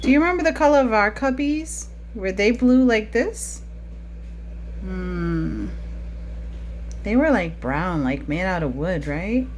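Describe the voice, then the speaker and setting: A woman's voice: medium pitch, lively intonation, slow pace, slight background noise. A woman preschool teacher talking to young children during a picture-book read-aloud, with frequent questions in a teacherly way.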